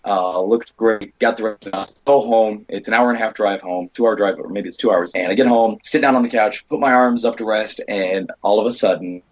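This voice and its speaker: An American man with a low voice and a husky edge talks casually as an interview guest. He rambles and acts out bits of dialogue as he goes. The audio is dull and lo-fi. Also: brisk, hardly any pauses. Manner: rambling, animated retelling with quoted dialogue. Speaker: guest, indie horror filmmaker and stunt performer.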